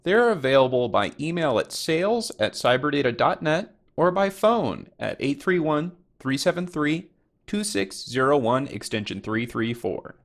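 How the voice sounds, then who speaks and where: A man's voice: on the high side, big pitch swings, normal speed. A man reading scripted narration as the announcer of a video series.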